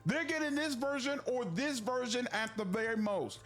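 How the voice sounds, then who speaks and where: A man's voice: very high voice, moderate pace, fairly bright tone, soft background music. A man giving video commentary on a game, indignant and emphatic, repeating himself for stress. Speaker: gaming commentator in a played clip.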